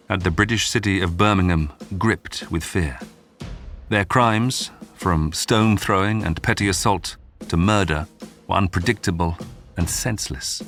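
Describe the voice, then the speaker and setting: Male narrator for history documentary: low-pitched, measured, dramatic storyteller, faint music underneath. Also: fairly bright tone. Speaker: documentary podcast narrator and host. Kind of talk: scripted narration. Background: background music.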